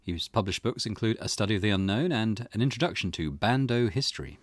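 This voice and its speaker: A man's voice: fairly low pitch, lively intonation, fairly quick, dry recording, clean studio sound. A man hosting a gaming playthrough, reading visual novel text aloud as narration, with music behind him. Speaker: gaming channel host.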